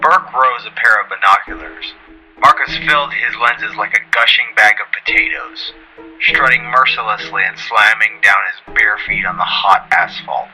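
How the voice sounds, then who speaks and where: A man's voice: on the low side, normal speed, sharp tone, faint music behind, rough recording. A man reading scripted narration for an audio drama.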